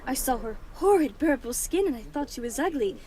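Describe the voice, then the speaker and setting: A woman's voice: high-pitched, expressive, fairly quick, mellow. A woman playing a haughty, glamorous and callous investigator in a theatrical, imperious manner, in a comedy audio drama. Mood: haughty disdain. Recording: roomy echo, faint background noise.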